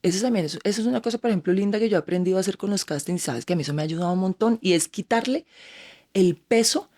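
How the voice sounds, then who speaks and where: A Colombian woman who talks at a brisk clip, her words tumbling out quickly. She chats casually as an interview guest, full of animation, and slips into quoted voices as she tells her stories. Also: medium pitch, a little mellow. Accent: Colombian Spanish. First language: Spanish. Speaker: actress, guest.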